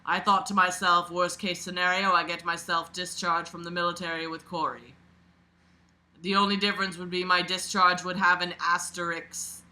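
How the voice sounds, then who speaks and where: Bright, forceful, sarcastic woman reading aloud. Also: fairly low pitch, normal speed, low-quality recording. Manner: expressive, sarcastic, informal and sweary. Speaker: host reading a book aloud with commentary. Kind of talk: casual mocking read-aloud with off-the-cuff asides.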